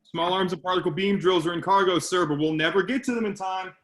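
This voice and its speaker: Starship helmsman, read by a man, brisk reports, high voice. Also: fairly bright tone. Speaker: actor in a table read.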